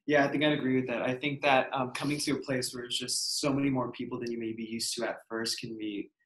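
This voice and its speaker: A young man on a student panel, answering questions quickly with many likes and ums. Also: medium pitch, flat delivery, a bit bright. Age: young adult. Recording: roomy echo.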